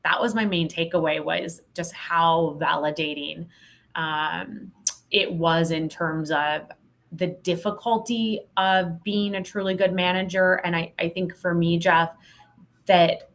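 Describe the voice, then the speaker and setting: Candid woman with a lowish voice. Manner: candid, emphatic, expressive. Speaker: podcast guest, sales leadership expert. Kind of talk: conversational interview answer.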